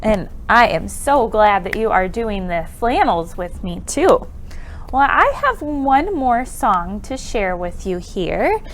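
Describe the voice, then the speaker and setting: Slow, animated woman leading children's storytime. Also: mid-range voice, slight background noise. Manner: animated, exaggerated exclamations and questions to young children. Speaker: children's library storytime presenter. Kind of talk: interactive children's storytime with counting songs.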